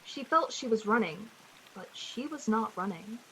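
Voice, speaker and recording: A woman playing the narrator of a suspense radio play, speaking in a measured, dramatic storytelling style with a slightly husky voice. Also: a little high, lively intonation, sharp tone, a little hiss or hum, low-quality recording. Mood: ominous.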